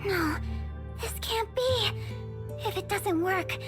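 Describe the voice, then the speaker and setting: Very high-pitched, excitable young researcher. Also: female voice, moderate pace. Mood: panicked. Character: young, excitable, anxious female researcher and engineer. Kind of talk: anime-style game voice acting. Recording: game dialogue over background music.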